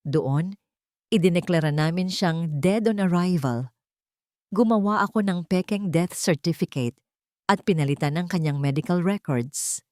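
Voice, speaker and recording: Woman voicing a calm, grave older male doctor, dry sound. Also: lowish voice, unhurried, no room sound, close to an everyday voice. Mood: calm, confiding. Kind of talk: storybook character dialogue.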